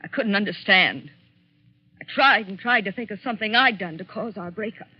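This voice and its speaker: A woman's voice: medium pitch, normal speed, husky, natural voice. Actress as jilted woman, muffled old radio. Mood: hurt, accusing. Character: jilted, bitter woman, coolly vengeful. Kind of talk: 1940s radio acting. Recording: muffled 1940s radio recording.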